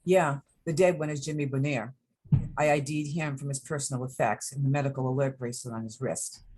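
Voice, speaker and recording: A man reading the part of a deferential uniformed police officer in a crime thriller script, reporting plainly in a husky voice. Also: medium pitch, steady tone, brisk, darkish tone, natural voice, poor audio. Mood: factual.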